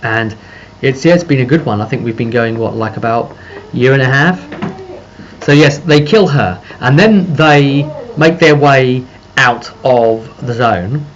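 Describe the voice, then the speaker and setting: A man giving a casual, rambling recap as a game master, halting and circling back over his phrases. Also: medium pitch. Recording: muffled lo-fi audio with background noise.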